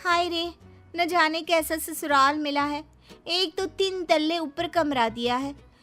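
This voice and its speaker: A woman's voice: sits high, takes it slowly, pushed and bright, soft background music, heavily acted. A woman voicing a young newlywed daughter-in-law in a cartoon story, boastful, chatty and theatrical. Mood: wailing complaint. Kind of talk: cartoon moral-story acting.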